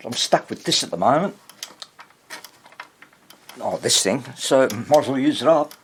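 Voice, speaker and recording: A man's voice: medium pitch, expressive, measured pace. Man thinking aloud in a noisy recording. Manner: hesitant, thinking aloud, self-deprecating. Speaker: vintage radio restorer and video host. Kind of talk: informal hands-on repair commentary. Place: workshop, beside the radio being repaired. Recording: noisy workshop recording with clicks.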